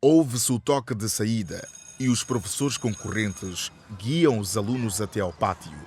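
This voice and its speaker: A man's voice: lowish voice, a little slow, darkish tone. A man narrating a scripted radio drama. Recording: faint background noise.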